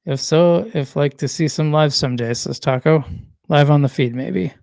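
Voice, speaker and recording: A man hosting a casual solo livestream, rambling and correcting himself as he reads chat comments, his voice worn and going hoarse. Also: fairly high pitch, moderate pace, darkish tone, dry recording, clean studio sound. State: losing his voice. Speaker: livestream host.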